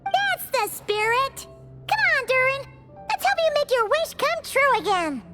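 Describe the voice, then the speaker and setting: A woman voicing a tiny, cheerful fairy companion in a video game, with a squeaky childlike voice and bubbly, excitable delivery. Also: measured pace, music in the background, exaggerated voice. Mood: cheerful, excited. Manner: bubbly, exclamatory, refers to self in third person.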